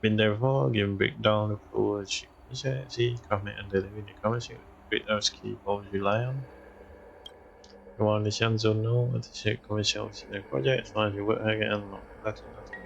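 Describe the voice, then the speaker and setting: A man's voice: lowish voice, gravelly, a bit bright, dull audio. A man streaming a game, casually reacting and muttering along in a loose, mumbled way. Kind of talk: casual reactions and reading along while playing.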